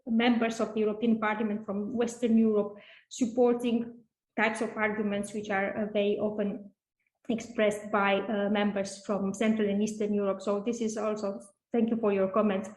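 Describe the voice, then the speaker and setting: A woman answers as an academic at a seminar in a roomy, echoing space. Her pitch is medium and fairly flat, and she goes haltingly, often repeating a phrase while she works out the point. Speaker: academic speaker. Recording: echoey room.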